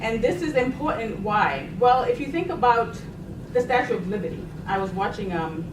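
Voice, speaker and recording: A woman's voice: a little high, animated, normal speed. Woman panelist, middle-aged, from Boston; chatty storyteller; distant, reverberant room recording. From Boston, United States. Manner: chatty, laughs while telling stories. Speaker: panelist, science agency program director. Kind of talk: conversational panel talk. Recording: echoey room, distant mic, noisy.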